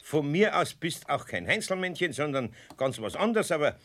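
A man's voice: fairly high pitch, sing-song, normal speed, fairly bright tone, full character voice. A man playing a kindly, long-suffering older craftsman with a Bavarian accent, grumbling patiently and mildly scolding in a children's radio play. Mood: coaxing, grumbling. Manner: homely, repetitive, mildly scolding. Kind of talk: 1970s German children's radio play acting. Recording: clearly noisy old radio-play recording.

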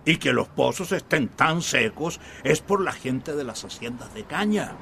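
A man's voice: fairly high pitch, lively intonation, a little slow, vocal fry, pushed and bright, a little hiss or hum, heavily acted. An actor playing a skeptical, stubborn, world-weary village father in a radio drama. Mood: dismissive.

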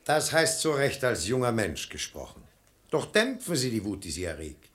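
Reasoning, very expressive brother-in-law. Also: male voice, medium pitch. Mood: cautioning. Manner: reasoning, admonishing, measured. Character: sensible, cautioning brother-in-law, the voice of moderation. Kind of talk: theatrical verse radio play acting.

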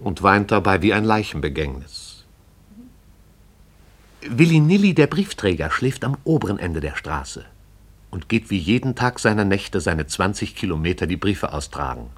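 A man narrates a radio play with a slightly low, husky voice. His delivery is measured and storytelling. It is scripted narration for broadcast drama. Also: very animated.

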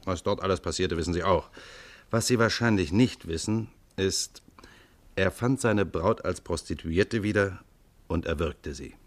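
A commanding police commissioner from a 1950s German radio crime play, with a very deep voice. He speaks in a matter-of-fact way, as one used to giving orders. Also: unhurried. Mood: confiding, grave. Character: authoritative German police commissioner leading a murder inquiry.

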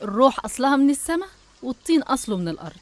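Fast-talking Egyptian woman narrator. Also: high-pitched, big pitch swings, no echo. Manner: didactic, addressing the listener directly. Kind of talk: scripted religious storytelling narration.

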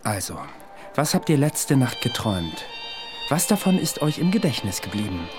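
A man's voice: medium pitch, very animated, normal speed, over music, lightly acted. Male actor as eccentric self-made analyst, radio drama: confident, eloquent, needling, with widely swinging intonation. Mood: probing. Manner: eloquent, probing, emphatic. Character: confident, eccentric amateur psychoanalyst, provocative and insistent. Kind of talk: German radio play acting.

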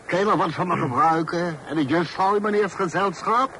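A man's voice: high-pitched, brisk, pushed and bright. A man playing a folksy, familiar street pedlar with chatty sales patter in a period radio drama. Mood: chatty, wheedling. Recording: slightly noisy old radio recording.

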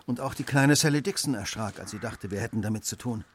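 An actor playing a keen, determined Victorian gentleman detective in a radio play, with precise, polite diction. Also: on the low side, fast, a little hiss or hum, lightly acted. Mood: thoughtful, urgent.

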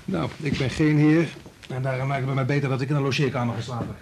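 An actor playing a blunt, sardonic, irritable husband in a Dutch radio drama. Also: male voice, medium pitch, fairly even intonation, fast, noisy background, lightly acted. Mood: irritated, sarcastic.

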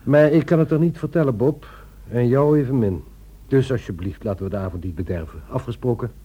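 An actor playing a guarded, courteous husband in a radio play, speaking in a measured, quietly firm and wry way. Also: male voice, mid-range voice, slightly rough, natural voice.

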